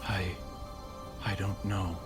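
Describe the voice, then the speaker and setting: An actor playing a newly freed young man in a game cutscene, halting, subdued and bewildered. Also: low-pitched, flat delivery. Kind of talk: video game cutscene acting. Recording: loud cinematic music behind.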